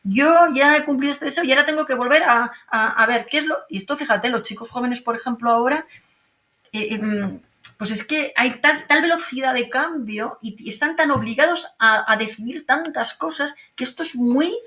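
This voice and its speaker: A woman's voice: sits high, normal speed, bright, forceful tone. A middle-aged woman with a Madrid accent, an archaeologist being interviewed over a video call, who talks reflectively with many fillers, restarts and tag questions. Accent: Madrid Spanish. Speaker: archaeologist guest. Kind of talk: conversational interview.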